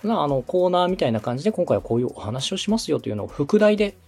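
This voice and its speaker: Male podcast coach: quick, lively pitch swings, slightly husky, polite explainer, light music behind. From Osaka, Japan. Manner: explanatory, polite, organized step-by-step advice. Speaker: podcast host and radio director advising a beginner.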